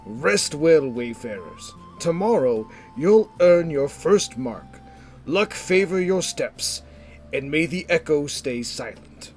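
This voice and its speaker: A man voicing a calm, authoritative guildmaster with a measured, commanding delivery, in a fantasy audio story. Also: fairly high pitch, soft background music, voice pushed up. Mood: solemn blessing. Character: calm, authoritative scarred male guildmaster addressing recruits.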